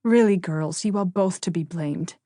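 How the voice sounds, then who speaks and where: A woman's voice: fairly low pitch, moderate pace, warm tone, no room sound, studio quality, close to an everyday voice. A woman voicing a proper teenage eldest sister, sweet but complaining, with a lecturing, plaintive manner in an audiobook.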